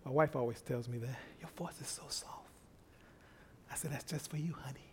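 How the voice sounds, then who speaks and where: A middle-aged man preaching a casual, folksy sermon, soft-spoken at rest and building to excited calls of praise. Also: mid-range voice, normal speed, slight background noise. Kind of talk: conversational sermon.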